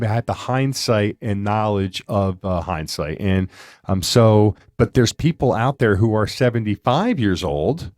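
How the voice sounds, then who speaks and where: A man in his fifties hosting a podcast, talking in a chatty, rambling way with animated asides. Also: on the low side, dry recording, clean studio sound. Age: 50s. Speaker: podcast host. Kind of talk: casual interview chat.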